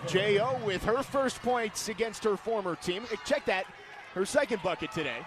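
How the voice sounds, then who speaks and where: A man's voice: sits high, lively intonation, normal speed. A man calling live sports play-by-play in clipped, vivid phrases. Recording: noisy live game broadcast.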